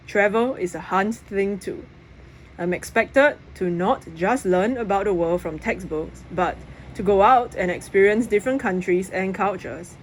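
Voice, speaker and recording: A woman's voice: lowish voice, rough recording. A woman reading a children's novel aloud as first-person narration. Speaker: audiobook reader. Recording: faint background noise.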